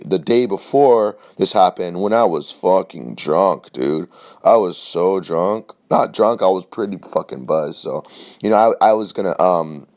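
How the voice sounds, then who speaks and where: Young man, very deep voice, scratchy sore throat, rambling casual podcast talk, swears freely. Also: a little mellow. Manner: rambling, loose and profane, with many fillers and restarts. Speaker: podcast host. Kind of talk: casual solo podcast chat.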